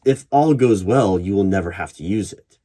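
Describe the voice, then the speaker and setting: Man, deep-pitched, husky, ASMR roleplay interviewer, measured and probing, halting pauses. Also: lively intonation, dark tone. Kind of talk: ASMR roleplay, one-sided job interview. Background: computer keyboard typing.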